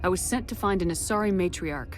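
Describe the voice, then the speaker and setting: An actress playing a tough, curt military commander in a video game cutscene, confident and no-nonsense. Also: female voice, on the low side, fairly quick, natural voice. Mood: confident, dry. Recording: game music behind the voice.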